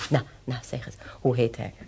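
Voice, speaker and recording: An actress playing an elderly woman patient in a Dutch radio play, with a creaky voice and a homely, rambling way of talking. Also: very deep voice, level tone, moderate pace, warm tone, a little hiss or hum. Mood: wry, playful.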